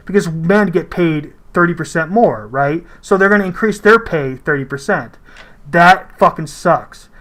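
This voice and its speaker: A man with a high-pitched voice gives casual reaction commentary. He is blunt and argumentative, swearing freely as he pushes his opinions. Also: fairly bright tone.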